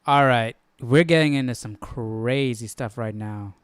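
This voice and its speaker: A man's voice: medium pitch, a little slow, clear, resonant tone, no room sound. A man hosting a live Bible study, thinking aloud in a conversational, questioning way. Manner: conversational, questioning, repeats himself for emphasis.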